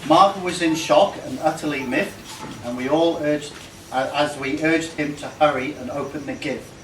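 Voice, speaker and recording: A slightly high-voiced man reads comic verse aloud from some distance in a noisy room. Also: normal speed, a bit bright, reverberant. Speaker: party guest reading a tribute poem. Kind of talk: reading a comic rhyming poem aloud.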